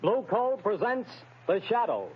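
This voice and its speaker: High-pitched, dramatic old-time radio announcer. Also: male voice, big pitch swings, unhurried. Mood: dramatic, urgent. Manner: dramatic, declamatory delivery. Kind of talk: 1930s-40s radio announcing. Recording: old muffled radio recording with music.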